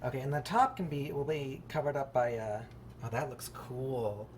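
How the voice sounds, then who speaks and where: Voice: mid-range voice, normal speed, a little mellow. Chatty rambling home baker, noisy recording. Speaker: home baker hosting a craft project video. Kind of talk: casual unscripted how-to narration.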